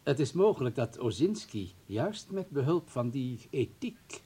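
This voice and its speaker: An actor playing an officious, coldly polite party informer in a radio play, husky, measured and insinuating. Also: male voice, medium pitch, very animated, warm tone.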